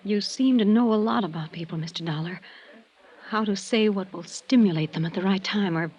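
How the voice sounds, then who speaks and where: A woman's voice: medium pitch, a little mellow, slightly rough, close to an everyday voice. Woman acting hesitant, uneasy schoolteacher, dull vintage radio. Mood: earnest, thoughtful. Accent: American. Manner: hesitant, halting, earnest. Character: uneasy, earnest small-town schoolteacher woman, decent and conscience-stricken. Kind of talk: 1950s radio drama acting.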